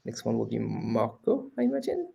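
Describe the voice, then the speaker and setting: A man from France, an engineering manager, giving a halting self-introduction at an online panel with many pauses. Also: mid-range voice, wildly swooping pitch, creaky, warm tone, slightly rough, dull audio. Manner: hesitant, many uh fillers.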